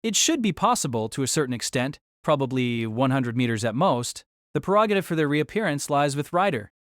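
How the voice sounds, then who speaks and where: A man's voice: mid-range voice, big pitch swings, no echo, clean studio sound, close to an everyday voice. A man voicing an irritable, unsure young male apprentice mage in an audio drama, speaking hesitantly. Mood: cautious. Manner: hesitant, halting.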